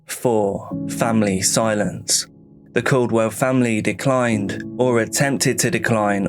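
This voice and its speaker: A man reading scripted horror narration in a measured, clipped manner, like a case-file report. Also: on the low side.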